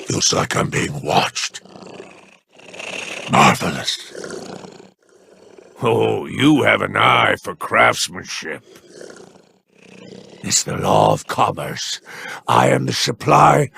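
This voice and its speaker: Gravelly, oily alien crime boss. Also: male voice, on the low side, sharp tone, a little hiss or hum, full character voice. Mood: smug, sly. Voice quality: gravelly, growling. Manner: oily, unhurried menace, mock-courteous. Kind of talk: video game character voice lines.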